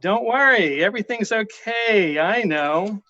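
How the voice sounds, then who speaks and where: A man's voice: high voice, expressive, measured pace, pushed and bright, no echo, full character voice. A man playing a casual, businesslike hired killer in a radio play, offhand and reassuring, on a dull recording. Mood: calm, businesslike. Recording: dull, lo-fi sound.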